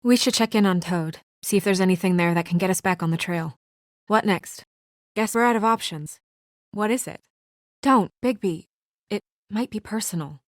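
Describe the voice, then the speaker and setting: A woman's voice: medium pitch, normal speed, no echo, clean studio sound, close to an everyday voice. A woman playing an earnest, businesslike young woman with office authority and a dryly exasperated edge, in naturalistic video game acting. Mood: concerned, probing.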